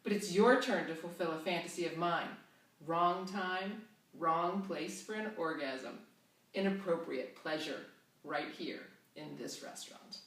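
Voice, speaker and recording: A woman reading as a coy, hushed young lover. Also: on the low side, measured pace. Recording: roomy, distant.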